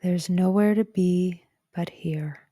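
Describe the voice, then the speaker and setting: Slow, soft woman guiding meditation. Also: on the low side, dry recording, studio quality. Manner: gentle, calm, soothing, soft breathy phrase endings. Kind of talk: guided meditation narration.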